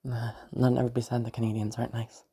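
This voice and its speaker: Girl doing a calm creepy sociopath telling their past, kinda raspy n dark voice, musing, audio roleplay. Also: extremely low pitch, full character voice. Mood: detached reminiscing. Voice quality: husky, creaky. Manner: halting, musing, understated. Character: detached sociopath recounting a childhood kidnapping, eerily calm. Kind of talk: first-person audio roleplay monologue.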